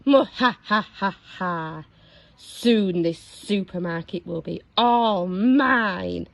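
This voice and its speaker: A woman voicing a gloating, menacing male evil pea in a children's storybook, with slow theatrical delivery. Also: medium pitch, creaky, no room sound.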